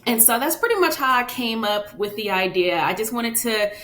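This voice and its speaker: A woman's voice: a little high, animated, moderate pace, a bit bright, a little hiss or hum. A woman guest on a podcast, chatting casually about her work and often asking "you know what I mean?". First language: English. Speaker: podcast guest, children's book author. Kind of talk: casual interview talk. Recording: roomy echo.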